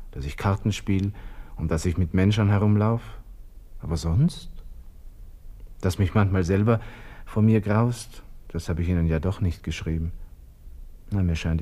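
Young army lieutenant, Austrian accent, husky, low, halting, self-questioning, despairing, radio drama. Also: male voice, mellow. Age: 20s. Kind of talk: radio drama interior monologue.

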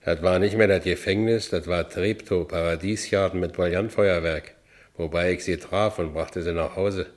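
Actor as deep-voiced rough Berlin ex-convict. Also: male voice, steady tone, normal speed, lightly acted. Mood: wistful narrating. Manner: coarse Berlin vernacular, swinging from brooding to exultant. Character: rough, brawny ex-convict labourer, volatile and self-pitying. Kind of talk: German radio play acting, monologue and dialogue.

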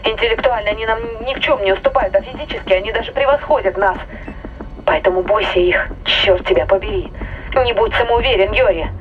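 A woman's voice: mid-range voice, a little fast, phone-quality sound. Wary woman over spaceship intercom. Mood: urgent warning. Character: wary, insistent female spaceship crew member. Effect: radio intercom filter. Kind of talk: audio drama acting. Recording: band-limited radio voice over music.

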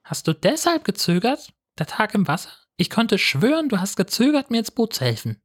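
Man putting on a high-pitched, pushy zombie sister. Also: big pitch swings, normal speed, husky, exaggerated voice. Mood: confessing, probing. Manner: blunt, slangy, insistent. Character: pushy, flippant undead young woman, zombie sister.

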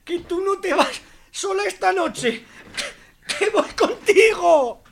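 Woman acting a high, creaky drunken lovesick man. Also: animated, slow, a little hiss or hum, heavily acted. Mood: lovesick, pleading. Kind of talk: amateur radio soap acting.

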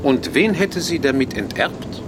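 A pompous older Belgian detective for a comic radio play. His delivery swings widely in pitch and is full of self-important courtesy. Vehicle noise runs clearly behind him. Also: very animated, unhurried. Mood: inquisitive. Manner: pompous, theatrical, peppers speech with French phrases.

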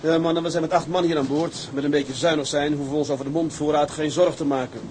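A man's voice: on the high side, fairly even intonation, fast, darkish tone, natural voice. Man acting a resolute sea captain, faint background noise. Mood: rallying, commanding. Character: resolute, guilt-burdened sea captain of a tugboat crew. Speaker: actor in a radio drama. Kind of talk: radio drama acting with letter-reading monologues.

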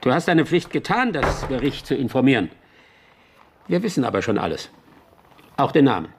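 An actor playing an officious, self-important police commissioner in a historical radio drama, giving commands. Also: male voice, medium pitch, very animated, normal speed, background noise. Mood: smug, official. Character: officious, bossy police commissioner of 17th-century Milan.

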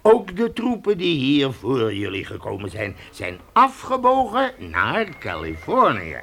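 Animated, gravelly frontier trading-post chief. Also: male voice, mid-range voice, unhurried, exaggerated voice. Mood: urgent persuasion. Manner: emphatic, chuckling, dramatic. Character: hearty, domineering British trading-post chief warning settlers. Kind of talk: radio drama acting.

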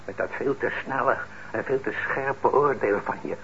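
An actor playing a stern, principled middle-aged father in a radio drama, speaking in a measured, admonishing way. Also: medium pitch, fairly bright tone, close to an everyday voice. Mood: indignant, reproachful. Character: stern, principled father and craftsman, indignant but fair. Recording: muffled old radio recording, faint noise.

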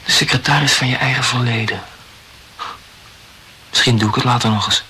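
An actor playing a brooding, wryly morbid male poet in a Dutch radio play, speaking reflectively and haltingly in a gravelly voice. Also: medium pitch, sharp tone, a little hiss or hum. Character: brooding, self-absorbed male poet, wryly morbid about his youth.

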